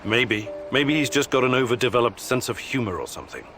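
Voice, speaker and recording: A man's voice: mid-range voice, sing-song, a little fast, noisy background. An actor playing a serious, weary male mission leader in a video game, with a dry, earnest, terse manner. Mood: dry, measured. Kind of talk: video game character acting.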